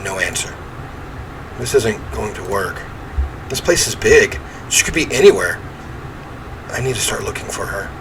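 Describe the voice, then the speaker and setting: A man reading a first-person horror game story aloud in an expressive, theatrical way. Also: fairly low pitch, moderate pace, long pauses. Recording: clear ambient noise behind voice.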